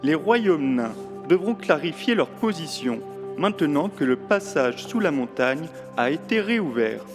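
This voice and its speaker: A ceremonious herald acted by a man presides over a fantasy council in an audio drama. He proclaims slowly in a fairly high voice that swings expressively. Clear music plays underneath. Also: sing-song.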